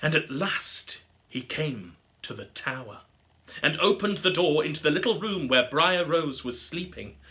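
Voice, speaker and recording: A man reading a scripted fairy tale aloud as a storybook narrator, with an expressive, theatrical delivery. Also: fairly high pitch. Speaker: storybook reader.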